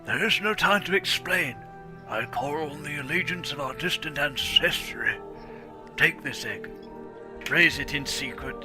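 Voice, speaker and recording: A man's voice: fairly high pitch, measured pace, lightly acted. A man acting a noble, desperate dragon guardian in a grave, theatrical dramatic reading. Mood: urgent, solemn. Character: noble, pleading male fire dragon guardian, desperate but proud. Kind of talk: dramatic comedic script reading. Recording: loud cinematic music behind.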